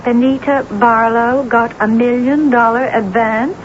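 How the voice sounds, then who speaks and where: An actress playing a self-absorbed, temperamental, envious novelist in a theatrical, gushing old-time radio drama style. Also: female voice, a little high, fairly even intonation, measured pace, lightly acted. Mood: envious outrage. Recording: dull, noisy old radio broadcast.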